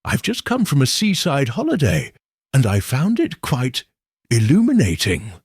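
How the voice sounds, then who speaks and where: A man voicing an elderly woman amateur sleuth, gentle and wry. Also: medium pitch, wildly swooping pitch, unhurried, slightly rough, no echo, pitched above the performer's own voice, full character voice.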